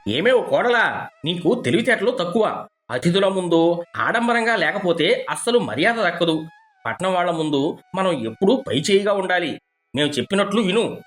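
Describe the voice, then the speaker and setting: A man's voice: high-pitched, sing-song, moderate pace, a bit bright. A man playing a boastful, status-anxious middle-aged village father in a cartoon moral story, pompous and blustering. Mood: bossy. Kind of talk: animated moral story dialogue.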